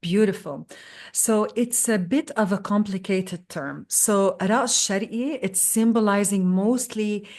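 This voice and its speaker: A woman in her thirties from Lebanon, a belly dance teacher speaking as an interview guest, explaining things reflectively with many pauses. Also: mid-range voice, darkish tone. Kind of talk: conversational interview.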